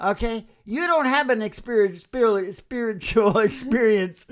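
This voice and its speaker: A woman's voice: fairly high pitch, measured pace. A woman hosting a radio show of acoustic country music, in rambling, jokey, casual style. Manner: jokey, laughs through own remarks.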